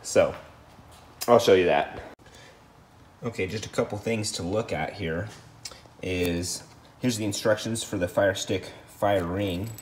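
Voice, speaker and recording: A man with a low voice walks through a hands-on job in a plain, matter-of-fact way. His delivery is casual, like someone hosting a DIY video. Clear clicking and clattering noise sits around his speech. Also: measured pace, pauses often. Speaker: host of a DIY install video. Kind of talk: casual how-to narration.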